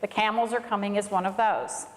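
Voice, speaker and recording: A woman's voice: medium pitch, steady tone, fairly quick, slight background noise. A woman reading a children's storybook aloud to a congregation in a church. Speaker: reader at a church. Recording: echoey hall with long reverb.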